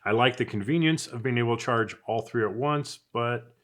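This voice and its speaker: A man's voice: medium pitch, moderate pace, a bit bright. A man giving a casual, unscripted product review in a chatty, conversational way, as if thinking aloud. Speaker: product reviewer, channel host.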